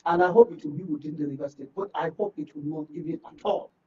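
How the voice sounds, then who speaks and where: A Nigerian man with a high-pitched voice speaks earnestly as a guest political commentator. He repeats words for emphasis. His voice sounds muffled and set back from the microphone. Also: quick pace, a little mellow. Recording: muffled, distant-sounding studio talk.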